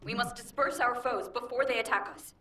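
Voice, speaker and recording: A woman's voice: on the high side, fairly quick, bright, forceful tone. A woman playing a proud crusader warrior in a video game, speaking in formal, old-fashioned, grave language. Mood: solemn, resolute. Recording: distant, echoey, noisy game audio.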